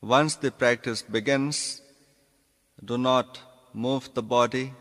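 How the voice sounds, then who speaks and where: Very slow-speaking man guiding meditation, long reverb. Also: medium pitch, fairly even intonation, fairly bright tone. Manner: deliberate, instructing in short phrases with pauses. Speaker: yoga nidra instructor. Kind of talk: guided meditation instruction. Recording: long reverberant hall echo.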